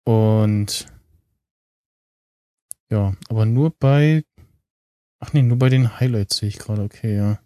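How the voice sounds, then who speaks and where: A man co-hosting a podcast, talking casually and haltingly as he thinks aloud. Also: on the low side, mellow, no echo. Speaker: podcast co-host. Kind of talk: casual, unscripted podcast chat about tech and streaming.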